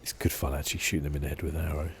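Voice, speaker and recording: A soft-spoken man giving relaxed, casual ASMR commentary over a video game, musing as he plays. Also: very deep voice, racing. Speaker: gaming channel host.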